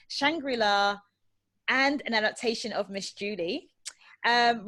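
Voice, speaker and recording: A woman's voice: on the high side, fairly bright tone. A woman hosting a conversational interview podcast in an effusive, welcoming way. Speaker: podcast host.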